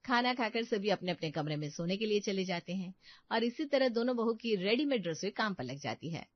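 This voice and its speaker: A woman's voice: medium pitch, expressive, brisk, no echo, dull audio. A woman reading scripted story narration as the narrator.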